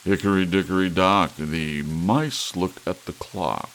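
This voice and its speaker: Man playing a boozy big newspaperman in his forties, theatrical, mocking, gravelly, storybook drama, rainy hiss. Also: sits low, a little slow, voice pushed up. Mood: mocking, jovial. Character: big drunken sardonic city editor in his forties. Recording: steady hiss, rain sound underneath.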